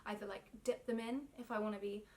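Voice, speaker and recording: A woman's voice: mid-range voice, steady tone, fast talker, slight background noise. A young woman with a London English accent, chatting casually to camera as a knitting vlogger, a little self-conscious with frequent ums. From England. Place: indoor room with yarn shelves.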